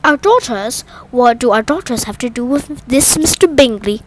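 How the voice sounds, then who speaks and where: A woman's voice: a little high, expressive, normal speed, noisy background, lightly acted. A woman voicing a teasing, amused father mouse in a storybook, speaking dryly. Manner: dry, teasing.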